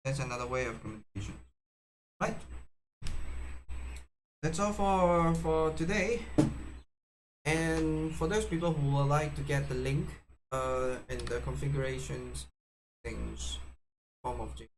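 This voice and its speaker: A man giving a casual, unscripted how-to demonstration, speaking haltingly with frequent fillers and self-corrections. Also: mid-range voice, music in the background, poor audio. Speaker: tutorial presenter.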